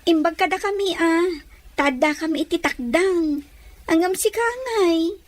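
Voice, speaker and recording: A woman's voice: extremely high pitch, unhurried. A woman playing an affectionate, worried young daughter with a secret sweetheart in a radio drama. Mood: tender, caring.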